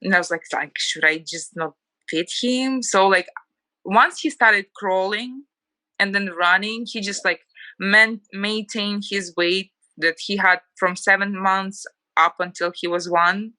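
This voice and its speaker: Bright-voiced Ukrainian woman guest. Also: mid-range voice, a little slow, no room sound, low-quality recording. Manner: chatty, many fillers of like. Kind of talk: casual interview chat.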